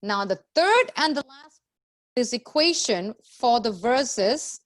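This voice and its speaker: A woman's voice: fairly high pitch, animated, takes it slowly. A woman teaching a scripture class to a group, explaining in a didactic way with repeated phrases and rhetorical questions.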